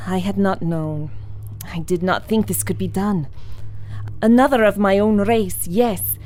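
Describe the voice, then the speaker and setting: An actress playing a powerful, solemn alien woman in a pulp science fiction radio drama, speaking in a measured, formal way. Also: medium pitch, fairly even intonation, darkish tone, slight background noise, natural voice. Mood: solemn, amazed.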